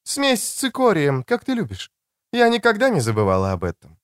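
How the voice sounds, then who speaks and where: A man voicing an elegant mature woman doctor in an audiobook, tender, frank and gently teasing, with soft expressiveness. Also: high voice, huge pitch swings, fairly quick, clear, resonant tone, no room sound, clean studio sound, voice pushed up. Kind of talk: audiobook character dialogue.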